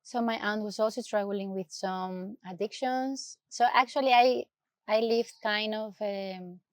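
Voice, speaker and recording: A woman from Ecuador with an Ecuadorian Spanish accent, telling her personal story as an interview guest, soft and hesitant as she searches for words in English. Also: medium pitch, unhurried, no echo, studio quality.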